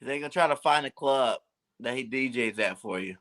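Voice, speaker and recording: A man's voice: medium pitch, a little fast, a bit bright. A man, co-hosting a fan call-in show, teasing his partner in easygoing chat and chuckling a lot. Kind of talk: casual chat.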